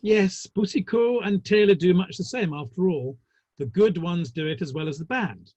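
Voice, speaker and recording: A man playing a wry, urbane, gossipy Victorian theatre manager in a dramatic play reading, with arch, ornate delivery and a husky voice. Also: high-pitched, animated, normal speed, darkish tone, dry recording, lo-fi sound. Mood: droll, gossipy. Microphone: online call.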